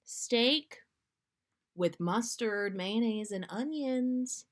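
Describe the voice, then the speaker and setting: A woman's voice: fairly high pitch, expressive, extremely slow, voice pushed up. A woman voicing a bashful, easily embarrassed man with a flustered, huffy manner in a fan fiction reading. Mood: mollified. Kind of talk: fan fiction read aloud.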